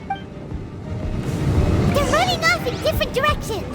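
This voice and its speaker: A woman voicing a tiny, cheeky, childlike fairy companion in a video game, squeaky and feisty. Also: lively intonation, moderate pace, full character voice. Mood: flustered. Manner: squeaky, childlike, refers to herself in third person. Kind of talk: video game character acting. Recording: loud game music behind voice.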